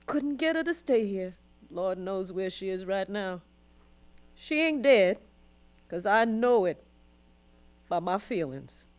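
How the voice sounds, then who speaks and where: A woman's voice: medium pitch, very animated, takes it slowly, long pauses. A woman acting a weary, devout old formerly enslaved grandmother in a radio drama, with a Southern US accent and solemn, emotive storytelling. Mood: anguished, grieving.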